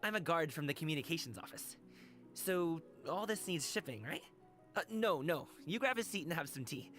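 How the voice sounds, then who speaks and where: A man's voice: fairly high pitch, expressive, moderate pace, a bit bright, faint music behind. An actor playing a cheerful, eager young male guard in a video game, friendly and playfully boastful. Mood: upbeat, friendly. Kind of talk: video game character voice acting.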